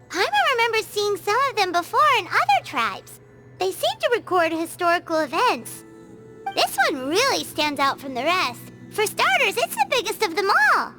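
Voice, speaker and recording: A woman voicing a tiny, excitable, childlike fairy companion in a video game, chirpy and eager. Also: extremely high pitch. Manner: speaks of self in third person, eager, chirpy. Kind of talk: animated video game character acting.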